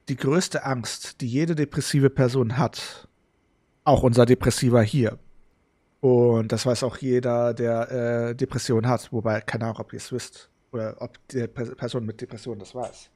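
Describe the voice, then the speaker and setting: A man's voice: mid-range voice, steady tone, normal speed, mellow. A man giving casual, reflective commentary as a game streamer. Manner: reflective, explaining. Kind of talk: casual let's play commentary.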